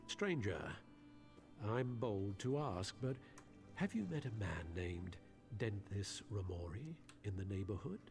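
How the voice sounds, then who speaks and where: A man's voice: lowish voice, lively intonation, measured pace, darkish tone. A man voicing a vengeful, haughty wizard in a video game, with a theatrical, pompous and indignant manner. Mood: menacing, probing. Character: vengeful, haughty old wizard robbed by his apprentice. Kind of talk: video game character acting. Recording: music behind the voice.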